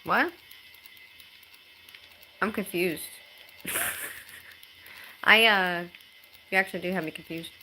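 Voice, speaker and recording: A woman in her thirties chatting casually on a live stream into her phone at home, reading out viewer comments and talking in a halting way, with many ums and restarts. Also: on the low side, pushed and bright, slight background noise, rough recording. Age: 30s.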